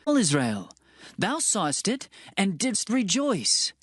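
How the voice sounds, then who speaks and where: A man's voice: sits high, wildly swooping pitch, slow, fairly bright tone, slightly rough, no room sound, clean studio sound, exaggerated voice. A man playing a loyal, earnest young prince of ancient Israel in a dramatized scripture reading, with formal archaic phrasing. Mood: pleading.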